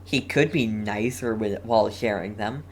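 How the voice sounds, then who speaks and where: A video game streamer giving casual live commentary and chatting with viewers, halting and often repeating words. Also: mid-range voice.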